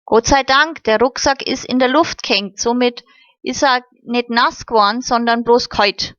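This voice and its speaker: A woman's voice: fairly high pitch, measured pace, a bit bright, no echo. A woman reading the part of a hungry, cheerful boy who cracks jokes in a matter-of-fact way. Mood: lively. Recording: slightly muffled recording.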